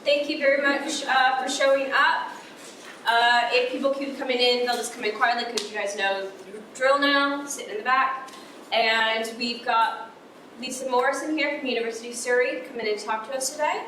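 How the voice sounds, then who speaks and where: A woman organising a student careers event, giving a brisk, informal introduction. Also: a little high, bright, forceful tone, slight background noise. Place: lecture room. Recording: echoey room from far off, faint ambience.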